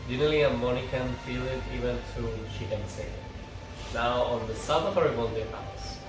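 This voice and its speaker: A man's voice: mid-range voice, moderate pace. Man, script read-aloud, informal narrator, distant and echoey, music behind. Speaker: table read narrator and reader. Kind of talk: reading a TV script aloud, stage directions and parts.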